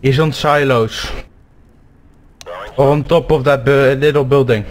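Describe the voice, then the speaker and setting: Young Dutch man, gamer on headset voice chat, relaxed and jokey, mid pitch, light hiss. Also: measured pace. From Netherlands. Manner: laid-back, joking, calls out enemy positions. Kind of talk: casual in-game voice chat. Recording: faint background noise.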